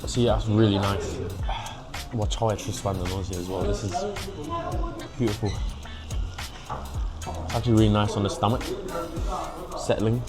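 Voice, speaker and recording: A young man with an English accent, chatting casually through a food vlog, cheeky and mixing in Mandarin phrases. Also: fairly low pitch. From England. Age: young adult. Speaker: food vlogger. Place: hotpot restaurant.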